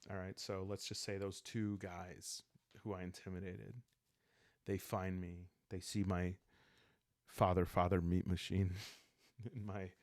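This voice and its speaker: Calm guy improvising a solo rpg story, gravelly voice, really dry close sound, chill and casual. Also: deep voice, dry recording. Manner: calm, unhurried, musing, meant for relaxed listening. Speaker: host and solo player of a journaling roleplaying game. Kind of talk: casual, improvised solo roleplay narration, thinking aloud.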